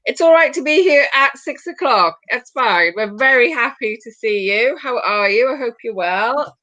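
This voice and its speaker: A woman in her forties with a British accent, hosting a casual chat over a video call, rambling with quick asides. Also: fairly high pitch, lively intonation, sharp tone. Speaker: host.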